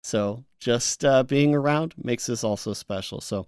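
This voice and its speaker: A man co-hosting a podcast in casual chat, speaking haltingly and often restarting his sentences. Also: mid-range voice, a little mellow, clean tone, dry recording, clean studio sound. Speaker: podcast co-host.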